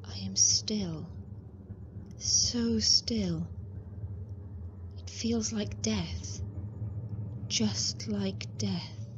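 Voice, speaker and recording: Slow, frail young wife. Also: female voice, medium pitch, whispered. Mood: hushed dread. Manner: slow, trancelike, earnest, often hushed. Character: Mina, frail, entranced, devoted young wife. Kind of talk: radio drama acting. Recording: muffled sound, clear ambient noise.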